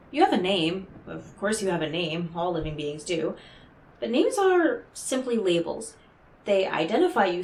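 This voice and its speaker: A woman's voice: mid-range voice, a little mellow, echoey room, slight background noise, rough recording. A woman playing an enigmatic, devilish stranger in a roleplay audio drama, speaking coyly and theatrically to the listener with teasing condescension. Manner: coy, deliberate, theatrical, talking directly to the listener.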